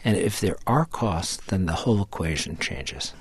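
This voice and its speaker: A man with a somewhat low voice answers as a scientist guest, explaining step by step in a measured way. He often doubles his words as he works through a point. The sound is dry and close to the mic. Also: expressive, breathy edge, no echo.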